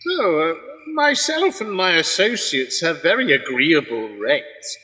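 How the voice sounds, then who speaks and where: A man playing an obsequious, flattering Roman moneylender who wheedles his way through a comic radio play. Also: a little high, slow, heavily acted. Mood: wheedling. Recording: long hall-like reverb.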